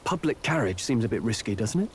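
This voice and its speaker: A man's voice: medium pitch, sing-song, fast, slight background noise, lightly acted. Actor as earnest young nobleman. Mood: reflective, wistful. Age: young adult. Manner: earnest, reflective. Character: earnest young nobleman soldier, orphaned, quietly bitter. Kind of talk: video game voice acting.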